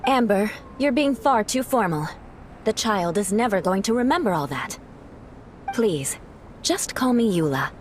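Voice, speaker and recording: A woman's voice: medium pitch, animated, unhurried, natural voice. Woman playing a poised, haughty noblewoman knight. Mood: cool, composed. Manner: formal, refined phrasing. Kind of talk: video game voice acting.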